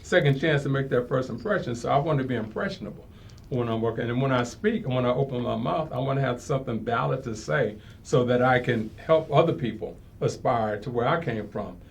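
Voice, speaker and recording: A man's voice: mid-range voice, fairly quick, vocal fry, a little hiss or hum. A man appearing as a talk show guest, giving an earnest motivational personal testimony and often rephrasing himself.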